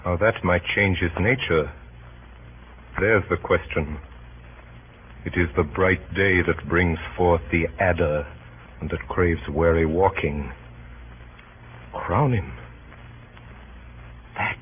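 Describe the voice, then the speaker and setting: An actor playing Brutus as a grave, brooding Roman conspirator in 1930s radio Shakespeare style, speaking in deliberate, rhetorical verse. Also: male voice, low-pitched. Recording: muffled, faintly noisy 1930s radio broadcast.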